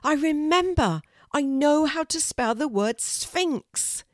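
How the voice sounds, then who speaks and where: A woman voicing an eager, excited young girl in a children's storybook reading. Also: mid-range voice, measured pace, no echo, clean studio sound, pitched above the performer's own voice. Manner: bright, eager and exclaiming.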